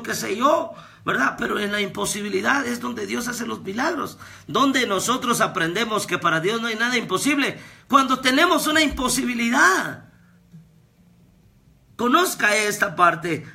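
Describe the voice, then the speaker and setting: A man, preaching a fervent devotional sermon as a pastor and prophet, with repetitions that rise to shouts. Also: high-pitched, vocal fry. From Guatemala. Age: 30s.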